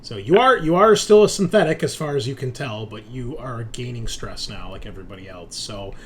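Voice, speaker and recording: A man's voice: medium pitch, expressive, a little fast, crowd noise. An American man in casual, sometimes sweary chat as he hosts a tabletop game stream. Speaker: host and game master.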